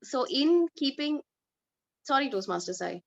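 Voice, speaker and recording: A woman running a speaking segment at an online club meeting, in a measured way with many filler uhs. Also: sits high, big pitch swings, gravelly. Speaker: table topics master. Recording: dull lo-fi online call audio.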